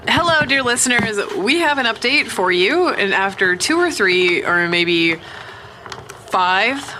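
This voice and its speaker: A woman's voice: medium pitch, expressive, a little slow, noisy background, close to an everyday voice. A woman playing a tipsy, teasing friend who wryly addresses listeners in a found-footage horror audio drama. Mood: playful, tipsy.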